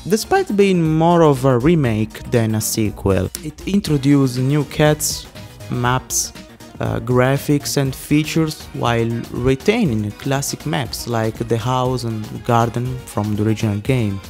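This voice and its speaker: A man with an Italian accent narrates a scripted video in a mid-range voice. He reads steadily and quickly and slips in the odd filler. Faint music plays underneath. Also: mid-range voice, darkish tone. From Italy. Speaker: YouTube narrator.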